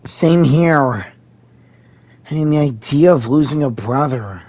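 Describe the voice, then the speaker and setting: Casual gamer reading lines aloud. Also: male voice, on the high side, lively intonation, takes it slowly, a little mellow. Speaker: gaming content creator. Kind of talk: reading dialogue options aloud during a playthrough.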